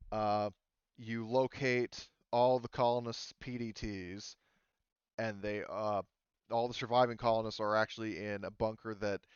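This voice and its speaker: A man running a tabletop game, improvising the narration in a halting, casual way. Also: fairly low pitch, clear, resonant tone, no echo, muffled recording. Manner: halting, hesitant, casual delivery. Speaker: game master.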